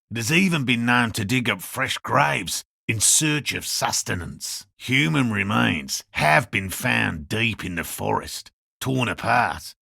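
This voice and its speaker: A man playing an earnest, slightly defensive cryptozoologist in a video game, with a raspy, gravelly voice and a measured, academic, matter-of-fact manner. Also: mid-range voice, sharp tone, no room sound, clean studio sound, exaggerated voice. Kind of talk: video game character dialogue.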